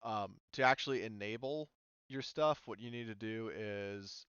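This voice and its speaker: A man with a smooth, clear voice acts as game master for a tabletop game. He talks informally and casually, and the recording is very dry and a little dull. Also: fairly low pitch, unhurried, a bit bright, no room sound. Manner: informal, sweary. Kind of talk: running a tabletop role-playing game, casual explanation.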